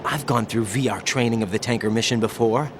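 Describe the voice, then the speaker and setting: A man's voice: medium pitch, a little fast, noisy background, natural voice. A man playing an earnest, uneasy young special operative in a dramatic video game, always questioning. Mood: suspicious, uneasy.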